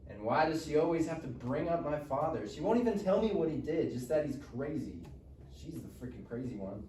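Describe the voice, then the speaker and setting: Man playing sullen mellow-voiced teenage boy, roomy. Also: on the high side, big pitch swings, a little fast. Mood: indignant. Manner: grumbling, slangy. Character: sullen, defiant teenage Mexican-American boy. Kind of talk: live script table read. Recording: roomy distant mic, faint noise.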